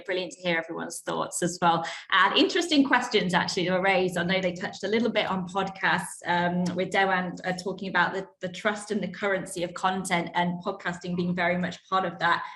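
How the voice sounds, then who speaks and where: Echoey, lower-voiced woman moderating a panel. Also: fairly bright tone. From Western country, living in the UAE as an expat. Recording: echoey, roomy sound.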